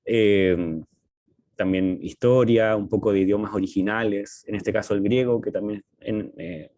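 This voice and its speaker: A man with a Chilean accent talking casually as a podcast co-host, in a halting, hesitant way with frequent pauses. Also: lowish voice, steady tone, a little mellow, no room sound. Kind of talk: casual conversational podcast talk.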